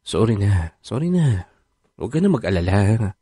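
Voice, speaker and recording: A man's voice: on the low side, sing-song, normal speed, mellow, breathy edge, no echo, clean studio sound. A man playing a sheepish, placating stay-at-home husband in a radio drama. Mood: apologetic. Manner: hesitant, placating. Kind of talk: Filipino radio drama acting.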